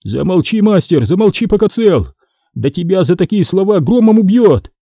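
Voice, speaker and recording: Man acting a frightened, high-voiced timid apprentice. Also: very animated, normal speed, warm tone, breathy edge, no room sound, studio quality, exaggerated voice. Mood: frightened pleading. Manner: anxious, pleading, tearful edge. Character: timid, superstitious young male apprentice, easily frightened. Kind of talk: dramatised historical audiobook.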